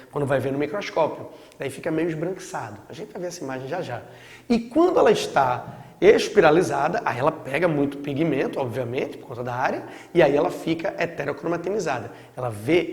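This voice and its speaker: A hoarse man with a Brazilian Portuguese accent talks through a biology lesson in a loose, chatty way, often tagging his points with quick check-ins. His voice sits a little high for a man. It rings around a room with long, echoing reverb. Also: darkish tone. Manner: chatty, with frequent check-in tags. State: hoarse from a sore throat. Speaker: biology teacher. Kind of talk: casual recorded lecture.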